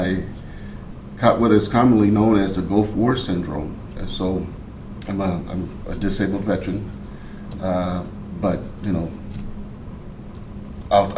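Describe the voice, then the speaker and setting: A middle-aged man from Portland recounts his life in a relaxed interview, pausing often and restarting his sentences. His voice sits low and mellow. The mic is some way off in an echoey room. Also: a little hiss or hum. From Portland, Oregon. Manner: unhurried, halting with fillers and restarts. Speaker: interview guest, veteran. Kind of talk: casual life-story interview. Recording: roomy, mic at a distance.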